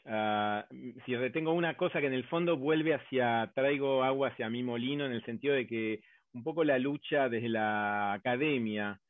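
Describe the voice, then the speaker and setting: An Argentine man on an academic panel, building his point step by step and pausing on fillers. His voice comes through a narrow, phone-like remote connection with no room sound at all. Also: mid-range voice, no room sound. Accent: Argentine Spanish. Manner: hesitant, many fillers, builds arguments step by step. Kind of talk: round-table discussion. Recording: dry, tinny phone-like line.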